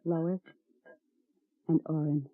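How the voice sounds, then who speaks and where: An actress in a 1950s radio drama, playing an earnest young wife who has survived the bomb, with a breathy, eager way of talking. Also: female voice, deep voice, level tone, slow, no room sound. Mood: hopeful. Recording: tinny, dry old radio broadcast.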